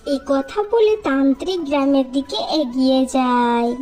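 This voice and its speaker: A narrator telling a children's cartoon story in an even storytelling manner. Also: sits high, a little slow, faint music behind.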